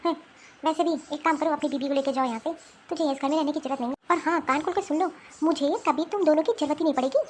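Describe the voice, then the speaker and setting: A woman voicing an angry, sharp-tongued woman scolding relatives in a family drama cartoon. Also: high-pitched, quick pace, slight background noise, natural voice. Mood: haughty, dismissive. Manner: scolding, indignant. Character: angry, sharp-tongued Indian women quarrelling in a family feud.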